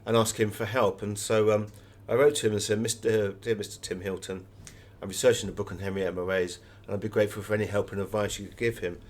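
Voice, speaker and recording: A man's voice: on the low side, fairly even intonation, fast talker, few pauses. British man telling anecdotes in an interview. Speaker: interviewed author.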